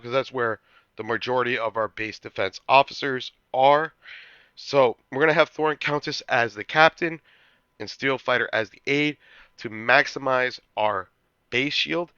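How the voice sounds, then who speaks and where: A man hosting a gaming channel, talking through a strategy walkthrough while getting over a cold, now and then clearing his throat and restarting his sentences. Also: medium pitch, moderate pace, sharp tone, no echo, highs cut off. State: recovering from a cold.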